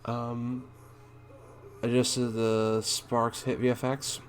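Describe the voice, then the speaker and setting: A man doing casual commentary as he reads game notes aloud, halting and thinking out loud, with a blunt streak. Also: medium pitch, monotone, a little hiss or hum. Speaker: gaming content creator and streamer.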